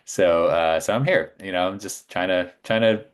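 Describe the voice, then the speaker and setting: A man speaking as a podcast guest, informal and halting, often correcting himself as he goes. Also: low-pitched, clean tone. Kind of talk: casual chat. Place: small home studio by a bedroom.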